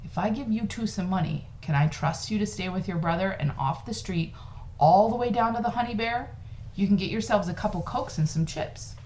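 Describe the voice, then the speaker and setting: A woman's voice: mid-range voice, brisk, darkish tone, slight background noise, voice pushed up, lightly acted, rough recording. A working-class dad read by a woman in a storybook reading. He is firm yet placating, with a patient, cautioning way of talking to children. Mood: coaxing.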